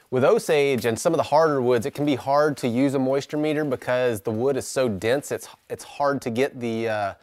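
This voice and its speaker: A man's voice: medium pitch, dry recording. A man in his thirties giving a casual, practical tour of his bow-building workshop, hesitating with frequent "uh"s and letting his voice fall off at the ends of thoughts. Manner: relaxed and practical, many "uh"s, trails off quietly at ends of thoughts. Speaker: bowyer giving a shop tour. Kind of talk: casual walkthrough explaining his tools. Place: large workshop with plywood walls, indoors.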